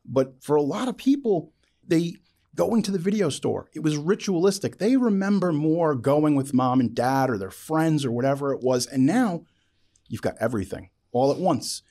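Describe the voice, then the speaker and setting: A man's voice: fairly high pitch, expressive, normal speed, dark tone. A man hosting a casual interview, talking enthusiastically and repeating phrases for emphasis. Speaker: podcast host.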